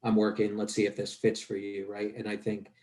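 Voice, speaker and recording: Deep mellow flat-voiced moderator. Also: male voice, brisk. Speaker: webinar moderator. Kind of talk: moderating a panel.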